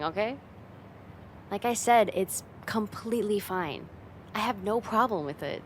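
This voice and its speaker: A confident young woman played by a female voice actor teases the listener in an ASMR roleplay. Her pitch moves around a lot and her delivery is casual and playful, with a touch of fond exasperation. A faint low rumble sits behind the voice. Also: lightly acted. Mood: playful, teasing. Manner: playful, teasing, casual, giggly, lightly exasperated. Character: teasing, confident young woman, a friend's older sister.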